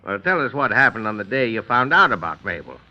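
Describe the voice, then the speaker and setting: An actor playing a skeptical, probing defense lawyer who questions with condescension in an old radio drama. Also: male voice, on the low side, big pitch swings, quick pace. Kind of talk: 1930s radio drama acting. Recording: dull old radio recording.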